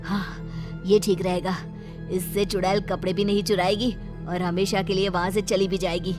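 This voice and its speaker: A woman's voice: medium pitch, flat delivery, normal speed, natural voice. Lady doing a worried crafty washer boy in a cartoon tale, talks to himself, music playing under it. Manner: anxious, self-talking, exclamatory. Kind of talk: Hindi cartoon story acting.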